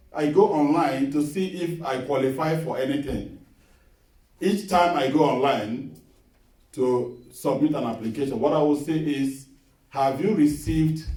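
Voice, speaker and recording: A middle-aged man preaching a sermon in a small church, earnest and measured, repeating his key phrases as he tells a personal testimony. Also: mid-range voice, dark tone. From Nigeria. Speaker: guest preacher. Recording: echoey hall, voice picked up from a distance.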